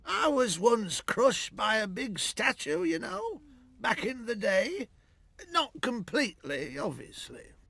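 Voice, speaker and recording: A man's voice: very high voice, sing-song, takes it slowly, creaky, sharp tone, breathy edge, no room sound, heavily acted. An actor playing a rambling old male minion in a game cutscene. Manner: rambling, reminiscing. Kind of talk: video game cutscene acting. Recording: game audio with music behind.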